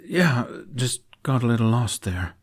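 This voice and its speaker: A man's voice: mid-range voice, animated, a little slow, husky. Man voicing a cheeky young wanderer. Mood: concerned. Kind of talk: fantasy audiobook character voice.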